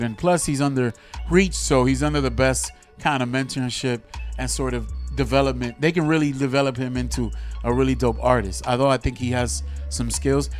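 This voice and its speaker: A man from Miami co-hosting a podcast, talking music in an animated, slangy way with frequent restarts. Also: mid-range voice. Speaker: podcast co-host. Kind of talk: casual music chat.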